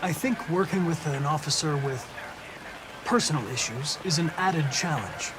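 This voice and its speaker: A man's voice: a little high, moderate pace, noisy background, natural voice. A man playing a polite young android detective in a video game, speaking formally and precisely in an earnest, analytical way. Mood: earnest, composed.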